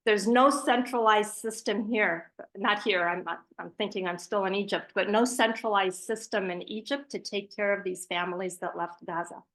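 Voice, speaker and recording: A woman's voice: medium pitch, moderate pace, few pauses, a bit bright, low-quality recording. A woman speaking as a charity representative on a panel, giving a prepared presentation with asides in an earnest, emphatic way.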